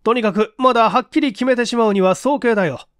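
A man voicing a composed middle-aged police investigation chief who reasons aloud with measured authority in an audiobook. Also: very high voice, fairly bright tone, no echo, clean studio sound, voice pushed up. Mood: cautious, decisive. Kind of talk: audiobook character acting.

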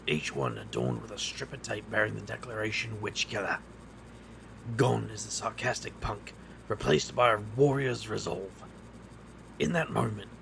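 A man acting as a reckless street magician with a very creaky, gravelly voice. He narrates his urban fantasy audio drama with sardonic wit. There is clear noise behind him. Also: on the low side. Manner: wry, sardonic. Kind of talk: first-person audio drama narration and dialogue.

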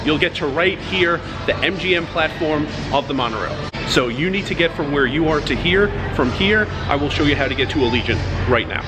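A man's voice: on the high side, lively intonation, brisk, hardly any pauses, music in the background. A man in his thirties giving casual step-by-step walking directions, filmed on a handheld camera held at arm's length. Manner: plain, instructive, pointing the way while walking. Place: indoor casino halls and an outdoor sidewalk by a highway. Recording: traffic noise behind.

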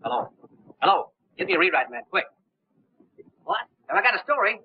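An actor playing a brash, excitable newspaperman, slangy and urgent, in a 1930s film. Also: high-pitched, brisk, breathy edge, exaggerated voice. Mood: urgent, excited. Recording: muffled old 1930s film soundtrack.

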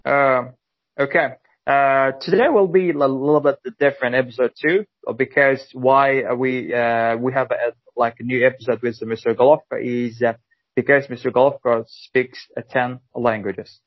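A man from Uzbekistan with an Uzbek accent hosting a casual interview in halting English, often repeating his phrases. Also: medium pitch, fairly even intonation, no room sound, low-quality recording. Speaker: podcast host.

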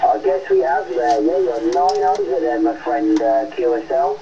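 A man's voice: on the high side, flat delivery, moderate pace, mellow. An English man chatting casually over CB radio, his voice coming through as a radio transmission. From England. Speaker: CB radio operator. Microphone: heard over a CB radio link. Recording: muffled, distant, noisy CB radio transmission.

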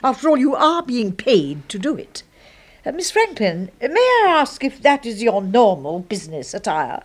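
An English actress playing a prim, priggish older secretary in a radio drama, speaking stiffly and precisely. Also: female voice, a little high, huge pitch swings, moderate pace. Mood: haughty disapproval. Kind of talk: 1970s radio drama acting.

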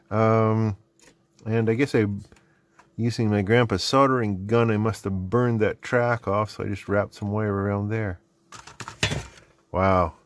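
A middle-aged Canadian man with a fairly low voice talks off the cuff as he hosts a hobby video at his workbench. His manner is rambling and self-deprecating. There is clear background noise with some clicking. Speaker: host of an electronics hobby video series. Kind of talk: casual unscripted workbench narration. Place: indoor hobby electronics workbench.

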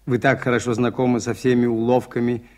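An actor playing a mild, shrewd priest-detective in a radio play, measured and politely insinuating. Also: male voice, medium pitch, little pitch movement, darkish tone, natural voice. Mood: politely probing. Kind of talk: Soviet radio play acting.

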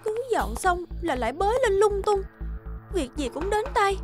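A woman playing a weary, fed-up young wife in an animated cartoon, talking expressively. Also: very high-pitched, normal speed. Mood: annoyed. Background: background music.

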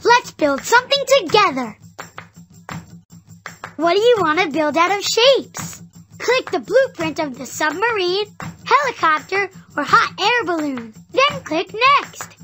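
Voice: very high-pitched, big pitch swings, measured pace, bright, forceful tone, faint music behind, exaggerated voice. A performer playing a cheerful little boy builder who guides a children's cartoon game with upbeat, simple encouragement. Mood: cheerful, instructive.

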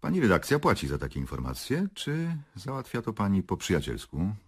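An actor playing a sardonic, curt public prosecutor in a radio drama, asking pointed questions. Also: male voice, on the low side, huge pitch swings, fairly quick, slightly rough, heavily acted. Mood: needling. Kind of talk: Polish radio drama acting.

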